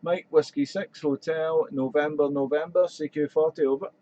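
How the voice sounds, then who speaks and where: A man chatting on amateur radio from his radio shack, rambling and friendly, his voice slightly croaky. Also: a little high, fairly even intonation, normal speed, dark tone, no room sound, lo-fi sound. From Wales. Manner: chatty, rambling, fills with "there". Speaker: ham radio operator. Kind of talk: casual radio chat.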